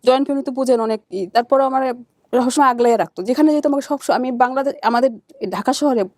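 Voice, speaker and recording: Very fast-talking woman, halting and repetitive. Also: high voice, darkish tone, no room sound, clean studio sound. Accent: Bangladeshi. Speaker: guest searching for birth family. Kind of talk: emotional personal recounting in conversation.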